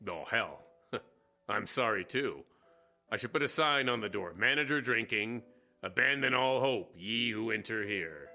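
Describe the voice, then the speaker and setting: A man acting in a radio drama as a gruff, hard-drinking, cynical war veteran who manages a station, blunt and sardonic, with an American accent. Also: on the low side, lively intonation, unhurried, bright, forceful tone, faint music behind, full character voice. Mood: rueful, sardonic. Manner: blunt, sardonic, stumbling over words.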